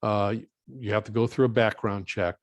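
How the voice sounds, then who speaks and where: A low-pitched man answers questions as a guest presenter. He is plain-spoken and halting, working through his explanation step by step, and the sound is close and dry. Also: fairly even intonation, no room sound. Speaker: guest presenter and volunteer coordinator. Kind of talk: informal question-and-answer after a talk.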